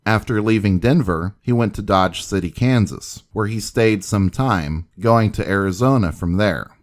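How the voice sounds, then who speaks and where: A man's voice: lowish voice, moderate pace. A man hosting a history video, reading scripted documentary narration.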